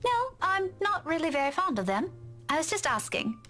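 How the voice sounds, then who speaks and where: A woman's voice: high-pitched, very animated, a little fast, music in the background. A woman playing a sarcastic, teasing young woman in a visual novel game. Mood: curious, incredulous. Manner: sardonic, teasing.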